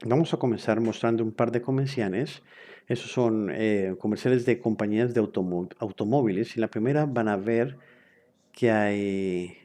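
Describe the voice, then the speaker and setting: A man's voice: lowish voice, moderate pace, warm tone. A man interpreting a webinar lecture into another language in real time, hesitant, with frequent fillers and self-corrections.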